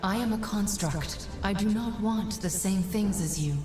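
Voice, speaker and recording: An actress playing a composed, selfless female construct guide in a video game, speaking in a measured, earnest, formal way. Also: mid-range voice, natural voice. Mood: calm, firm. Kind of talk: video game cutscene acting. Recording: echoey voice over music.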